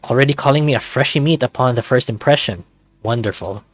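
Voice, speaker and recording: A man's voice: medium pitch, fast, dry recording, close to an everyday voice. Man playing a wry young lab newcomer. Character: male furry new lab worker, snarky but eager. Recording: music playing behind close dry voice.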